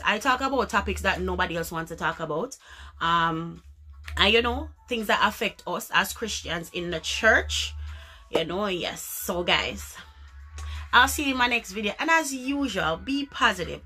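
A woman from Jamaica with a Jamaican accent, chatting casually to the camera in an animated, emphatic way and slipping into patois. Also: fairly high pitch. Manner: animated, emphatic, repeats words for effect, slips into patois. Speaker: vlogger. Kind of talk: casual chat to camera, explaining dialect words. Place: small furnished room indoors.

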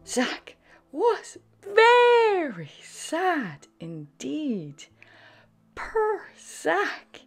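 A woman reading a children's storybook aloud in an animated, sing-song way, with a breathy voice. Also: very high voice, extremely slow. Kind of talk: expressive scripted storybook read-aloud for young children. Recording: background music under the voice. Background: music.

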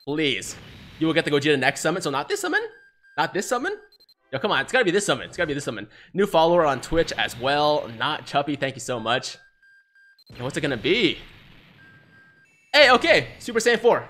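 Young man, originally from China, native Mandarin speaker, hyped live streamer, headset mic, bright, slightly high voice. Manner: excitable, hyped, chatty, reading chat aloud. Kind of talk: live-streamed gaming commentary. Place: small room, seated in gaming chair.

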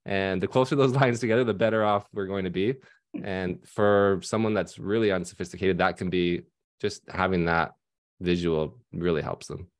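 Deep-voiced man talking on a headset. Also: steady tone, moderate pace. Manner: conversational with many fillers and restarts. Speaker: financial advisor panelist. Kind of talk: casual panel discussion. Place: home room at night. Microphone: headset on video call.

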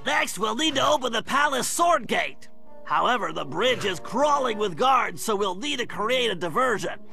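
A brainy turtle strategist from an animated game. His voice is extremely high, bright and nasal. He lays out plans in earnest, exact, technical terms. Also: normal speed, creaky, faint music behind, exaggerated voice. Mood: urgent, commanding. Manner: precise, technical, articulate. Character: brainy, nerdy male turtle mastermind, earnest planner. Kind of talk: animated video game voice acting, mission briefing.

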